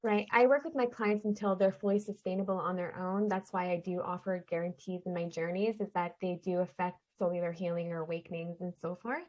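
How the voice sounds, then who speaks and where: A woman's voice: fairly low pitch, steady tone, a little fast, few pauses, a little mellow, poor audio. A woman from Canada, a guest on an interview podcast, earnestly explaining her spiritual healing practice in a conversational way. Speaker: interview guest, shaman and energy healer.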